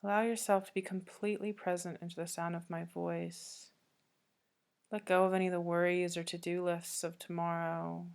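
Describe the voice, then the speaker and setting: A woman yoga teacher leading a guided meditation, speaking calmly and gently with long pauses between soothing instructions. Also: fairly low pitch, gravelly, clear, resonant tone.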